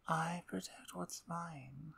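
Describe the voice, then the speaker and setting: A woman voicing a dominant, possessive female vampire in a soft, intimate ASMR roleplay, slow and breathy with whispers. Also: deep voice, fairly even intonation, exaggerated voice. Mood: tender, protective. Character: dominant, possessive, playful female vampire, tender toward her pet.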